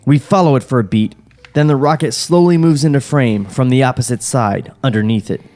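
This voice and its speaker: A man's voice: mid-range voice, brisk, darkish tone, soft background music. A man hosting a podcast, reading a screenplay's stage directions aloud in a comedic table read and cracking jokes between lines. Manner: reads directions steadily, breaks off into jokes and laughter. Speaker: podcast host reading a script.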